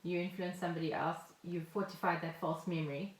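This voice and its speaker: A woman's voice: fairly low pitch, steady tone, quick pace. A middle-aged South African woman co-hosting a podcast, explaining things in casual chat and sometimes reading from notes. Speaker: podcast co-host. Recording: roomy home podcast echo.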